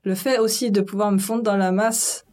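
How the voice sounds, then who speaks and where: A woman's voice: medium pitch, fairly even intonation, moderate pace, a little mellow. A young woman from France chatting casually in a slangy way, with lots of fillers. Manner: chatty, loose slangy speech full of fillers. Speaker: interviewee. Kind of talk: casual conversation.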